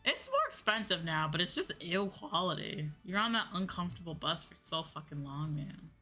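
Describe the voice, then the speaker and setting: A woman's voice: on the low side, sing-song, normal speed, sharp tone. An American woman chatting casually on a livestream in an informal, slangy, rambling way. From United States. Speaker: VTuber streamer, host. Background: background music.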